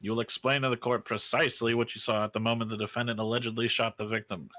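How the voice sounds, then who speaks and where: A man's voice: medium pitch, little pitch movement, quick pace, sharp tone, no echo, lightly acted. A man voicing a stern, exasperated courtroom judge in a video game fan dub, formal and commanding.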